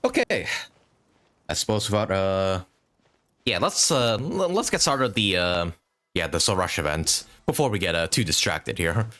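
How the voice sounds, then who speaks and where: A man's voice: deep voice, lively intonation, normal speed, fairly bright tone. A man doing casual live commentary as a game streamer, thinking aloud as he plays and swearing casually.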